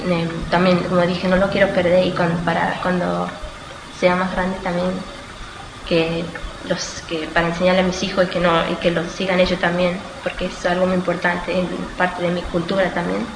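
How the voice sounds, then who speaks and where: Echoey, low-pitched, halting young woman. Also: completely flat delivery. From Australia. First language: Spanish. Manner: halting, searching for words, self-correcting. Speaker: student being interviewed. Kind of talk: informal interview answers. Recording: echoey room with clear background noise.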